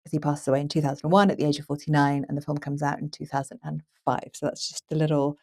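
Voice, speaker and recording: A woman hosting a conversational film podcast, chatty and animated in the way she tells things. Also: deep voice, quick pace. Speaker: podcast host and moderator.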